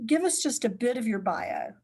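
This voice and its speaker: A woman's voice: a little high, wildly swooping pitch, normal speed, mellow, slightly rough. A woman interviewer asking her guest polite, friendly questions.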